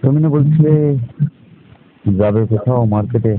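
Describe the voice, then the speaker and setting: A man's voice: medium pitch, normal speed. A man chatting casually on a private phone call, speaking in hesitant short questions with murmured fillers. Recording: tinny phone line with noise.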